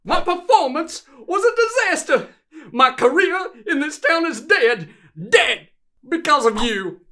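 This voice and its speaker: Melodramatic musician customer for animation played by a woman, high, swooping voice, easily wounded, faint noise. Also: lively intonation, measured pace, a bit bright. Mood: wronged, upset. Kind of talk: animated cartoon dialogue.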